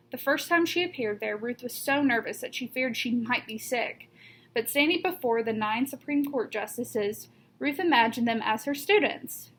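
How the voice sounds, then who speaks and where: A woman reading a children's picture book aloud like a teacher, with deliberate emphasis on key words. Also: a little high, fairly bright tone, low-quality recording. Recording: noticeable background noise.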